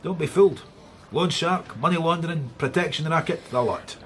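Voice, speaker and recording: An actor playing a sardonic, blunt Scottish police inspector in a radio drama. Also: male voice, on the high side, moderate pace, lightly acted. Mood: dry briefing. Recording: faint background noise.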